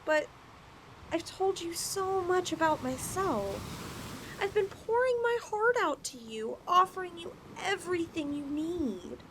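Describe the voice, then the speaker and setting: A woman's voice: very high-pitched, animated, a little slow, a bit bright, slight background noise, full character voice, rough recording. A woman voicing a possessive, flirtatious lamia in a roleplay ASMR style, coaxing her chosen mate with sweet condescension. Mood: pleading, affectionate.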